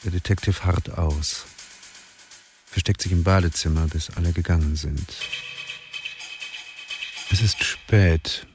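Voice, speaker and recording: A man narrating a crime radio play in a measured, dark manner. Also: low-pitched, steady tone. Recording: music behind.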